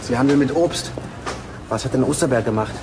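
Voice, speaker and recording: Man as a quick, terse police detective. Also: medium pitch, natural voice. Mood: businesslike. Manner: terse, direct questioning. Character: businesslike male police detective questioning a boss. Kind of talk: German crime radio play acting. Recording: clear background noise of work yard.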